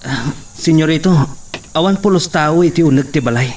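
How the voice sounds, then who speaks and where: Male actor as hesitant henchman, fairly high voice, deferential, radio-style drama, noisy chirping insects behind. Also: normal speed, a little mellow, close to an everyday voice. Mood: nervous, reporting.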